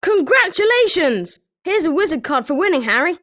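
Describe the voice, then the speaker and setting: High-pitched, eager British schoolboy played by a woman. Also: very animated, moderate pace, fairly bright tone, exaggerated voice. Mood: congratulatory. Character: eager, sporting schoolboy wizard, friendly and competitive. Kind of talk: scripted video game character lines.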